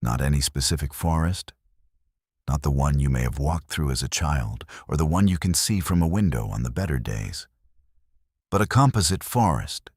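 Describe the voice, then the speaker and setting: Male sleep-meditation narrator, bass-deep, contemplative scripted delivery, very dry sound. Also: a little mellow, no echo.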